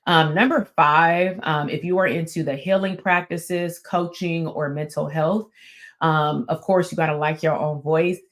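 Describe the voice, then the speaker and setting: Woman, raspy and strong voice, middle-aged, casual teaching talk, conversational, lots of fillers. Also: deep voice, steady tone, unhurried. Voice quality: raspy and strong, not soft. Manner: conversational, with many fillers and restarts. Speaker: therapist and business coach hosting her channel.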